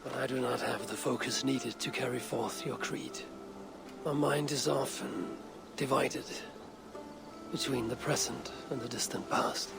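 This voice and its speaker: A stoic Viking warrior from a historical video game, with a gravelly mid-range voice. The delivery is measured, solemn and plain-spoken, over music. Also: male voice, medium pitch, fairly even intonation. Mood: sincere, reflective. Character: stoic, warm-hearted Viking warrior leader of a clan.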